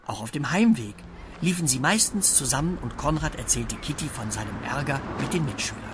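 A woman's voice: a bass voice, animated, fairly quick, background noise. A woman narrating a children's radio play as a scripted storyteller.

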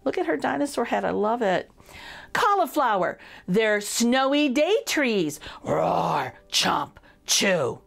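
A woman reads a picture book aloud for children in an expressive, playful way, addressing the young listeners directly. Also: fairly high pitch, takes it slowly, creaky.

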